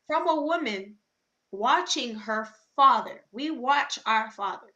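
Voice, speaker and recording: A woman, co-hosting a podcast in lively casual chat. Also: fairly high pitch, dull audio. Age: 20s. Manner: animated storytelling, quick asides, laughs often. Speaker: podcast co-host.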